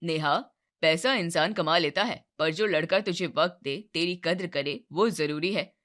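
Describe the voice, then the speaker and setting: A woman plays an earnest brother in a cartoon moral tale, her voice dropped low. He sounds caring and reproving, reasoning firmly. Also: normal speed, a bit bright, natural voice. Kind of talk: moral-story cartoon voice acting.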